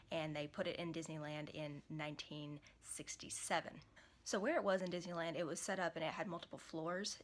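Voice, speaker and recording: A young woman, hoarse from a cold, chatting casually to camera in a small room, rambling with many fillers and restarts. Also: low-pitched, fairly bright tone, slight background noise. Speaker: vlogger.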